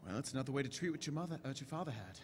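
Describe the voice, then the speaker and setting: A man playing an eccentric, inquisitive time-traveling doctor in a staged audio drama, chatty and brisk with theatrical swings. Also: mid-range voice, lively intonation, lightly acted. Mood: earnest, insistent. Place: large convention hall stage. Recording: echoey hall stage recording with noise.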